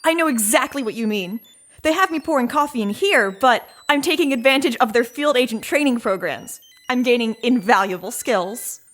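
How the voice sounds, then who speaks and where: A woman's voice: a little high, expressive, normal speed, fairly bright tone. A woman playing an earnest, starstruck assistant and trainee in an audio comedy, deferential and a little flustered. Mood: eager, flustered. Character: eager, starstruck female assistant and agent trainee.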